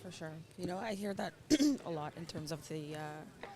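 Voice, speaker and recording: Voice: medium pitch, lively intonation, normal speed, darkish tone. An adult parent who was born in Russia and grew up in Israel and Canada, talking earnestly and thoughtfully as a stranger interviewed outdoors, laughing often. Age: adult with children. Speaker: interviewee, stranger met on the street. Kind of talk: casual conversation. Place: outdoors in a public area. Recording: busy crowd noise behind.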